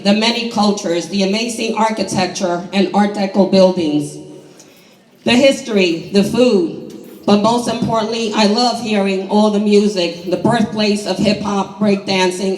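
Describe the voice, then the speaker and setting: A hoarse woman in her sixties from New York City gives an emphatic, deliberate prepared speech at a community meeting. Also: medium pitch, a little mellow. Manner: emphatic, deliberate rhetorical delivery. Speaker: community housing activist. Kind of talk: prepared public speech at a town hall. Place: large indoor community hall. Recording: echoey hall, distant microphone.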